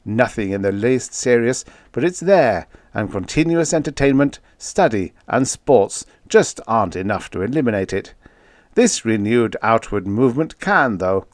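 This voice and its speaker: A man's voice: mid-range voice, animated, moderate pace, no echo, studio quality, voice pushed up, lightly acted. A man voicing a genial, avuncular elder president in an audiobook, with an emphatic, hearty manner. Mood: earnest, upbeat. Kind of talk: audiobook character acting.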